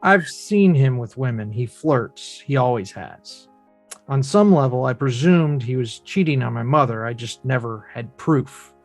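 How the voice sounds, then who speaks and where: A man's voice: medium pitch, animated, unhurried, no room sound, faint music behind. A man playing an earnest, probing adult son, speaking directly and bluntly in a drama table read. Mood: bitter, matter-of-fact.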